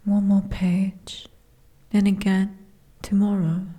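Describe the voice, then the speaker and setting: Giantess princess for fantasy ASMR, played by a woman: dark mellow tone, leisurely pace, formal and gently weary. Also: mid-range voice, level tone, slow pace, lightly acted. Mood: drowsy, hushed. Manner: formal, genteel, old-fashioned diction.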